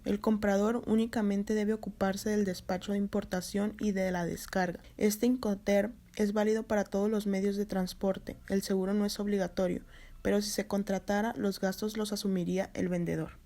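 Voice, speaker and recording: A woman's voice: medium pitch, flat delivery, normal speed, dark tone. A woman presenting a scripted explanation in an instructional reading style.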